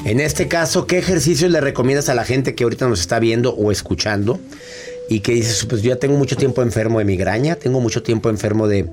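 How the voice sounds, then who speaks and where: Fast-talking man hosting interview. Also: mid-range voice, a little mellow, soft background music. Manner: prompting, quick follow-up questions. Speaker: podcast host. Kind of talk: interview questions.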